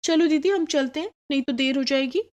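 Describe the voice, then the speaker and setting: A woman's voice: sits high, brisk, a little mellow, voice pushed up, natural voice. A woman voicing a sly, lazy young daughter-in-law in a cartoon moral story, pert and falsely polite as she justifies herself. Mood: breezy, bossy. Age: young adult.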